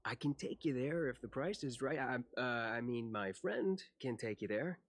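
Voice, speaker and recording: An actor playing a reluctant, evasive orderly in a video game, talking as if keeping a deal quiet. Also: male voice, mid-range voice, lively intonation, moderate pace. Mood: furtive.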